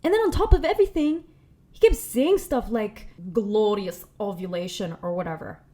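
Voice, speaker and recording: A woman's voice: medium pitch, moderate pace. Chatty young woman, slangy video essayist. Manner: chatty and slangy, animated asides. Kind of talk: casual commentary video essay.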